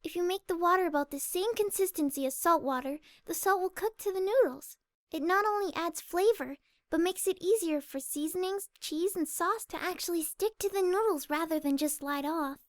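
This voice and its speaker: A woman voicing a timid, gentle young female pegasus pony, soft-spoken, hesitant and polite, in a story reading. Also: extremely high pitch, moderate pace, no echo, clean studio sound. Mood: shy, modest. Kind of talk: fan audiobook character acting.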